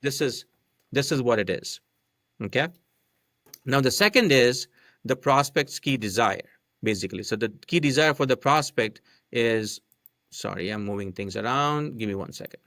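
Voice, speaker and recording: A man's voice: mid-range voice, animated, moderate pace, a bit bright, no room sound, studio quality. A man whose first language is not English, a podcast guest explaining a marketing method step by step and often checking in with "right?". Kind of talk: casual explanatory chat.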